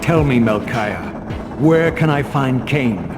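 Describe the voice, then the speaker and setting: An actor playing a proud, vengeful male vampire wraith in a video game, speaking formally and eloquently with bitter, measured gravity. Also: mid-range voice, animated. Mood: angry, demanding. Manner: formal, measured, brooding delivery. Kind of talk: theatrical video game narration and dialogue. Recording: long reverb, music behind.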